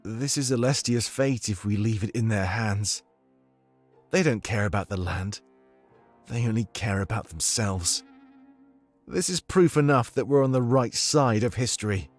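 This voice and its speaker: A man's voice: on the low side, a little slow, faint music behind. A man voicing a resolute, earnest male rebel leader in a furry visual novel, speaking with firm, rallying delivery. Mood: determined.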